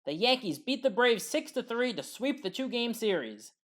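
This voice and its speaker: A woman narrating baseball highlights as a voice-over, recapping the plays briskly and adding her own opinions as a fan. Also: very animated. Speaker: sports highlights commentator. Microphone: voice-over recorded separately.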